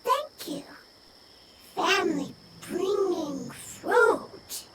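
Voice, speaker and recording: Game creature: timid little being, squeaky-high, echoey, halting one and two word phrases, earnest. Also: female voice, lively intonation, very noisy, heavily acted. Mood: grateful. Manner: broken speech in one or two word bursts. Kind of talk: video game voice acting. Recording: echoey, distant, loud music behind.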